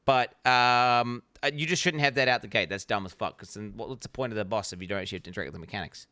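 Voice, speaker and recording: NZ-accented male streamer, profane and rambling, crisp clear tone. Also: lowish voice, fast, sharp tone, no room sound, studio quality. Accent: New Zealand. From New Zealand. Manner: blunt, profane, rambling with many restarts. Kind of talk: casual reaction stream commentary.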